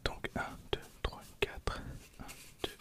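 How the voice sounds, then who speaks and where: A man in his twenties whispering softly as an ASMR host, hesitant and often repeating his words. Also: takes it slowly. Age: 20s. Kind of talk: whispered ASMR card-opening commentary.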